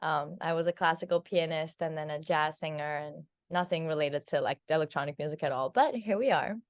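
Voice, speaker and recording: A woman's voice: low voice, fairly quick. A woman in her twenties from Turkey, chatting casually in an interview, her talk full of "like" and "um". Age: 20s. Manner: chatty, filled with "like" and "um". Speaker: singer being interviewed.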